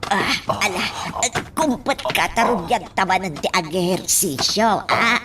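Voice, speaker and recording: A woman's voice: medium pitch, animated, measured pace, close to an everyday voice. A woman acting in an Ilocano radio drama as a fond, amused caregiver, musing and coaxing, on a noisy track that sounds a bit distant. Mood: coaxing, encouraging.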